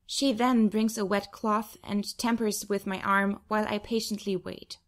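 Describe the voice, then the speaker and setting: Audiobook narrator, woman, average pitch, expressive first-person storytelling from a script. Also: measured pace. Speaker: audiobook reader.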